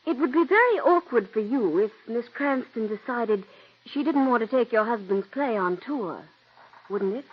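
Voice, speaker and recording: A young, high-voiced ingenue with a husky edge who pleads and then threatens, in a dull vintage radio drama. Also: female voice, lively intonation, normal speed. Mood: coldly threatening. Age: young adult. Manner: earnest and pleading, turning coolly threatening. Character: young ambitious scheming stage actress, woman. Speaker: aspiring actress. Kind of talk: 1940s radio acting. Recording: dull old radio broadcast.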